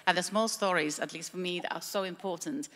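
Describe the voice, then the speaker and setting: A woman's voice: on the low side, fairly quick, fairly bright tone. A woman panelist telling stories in a chatty way, with frequent restarts, at a panel discussion in a large hall. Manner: chatty storytelling with many restarts. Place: large trade fair hall with reverb. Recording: echoey hall, faint background hum.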